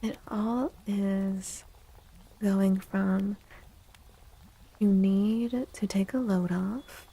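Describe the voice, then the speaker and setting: A soft-spoken woman with a breathy, creaky voice hosts an ASMR livestream. Her delivery is slow, gentle and soothing, often sinking close to a whisper. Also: medium pitch, steady tone, poor audio. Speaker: livestream host and tarot reader.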